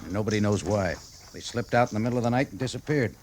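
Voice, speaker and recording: An actor playing a gruff but concerned army major, a commanding officer in an old-time radio western. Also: male voice, on the low side, fast talker, a little mellow, natural voice. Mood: grave. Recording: faintly noisy old-time radio recording.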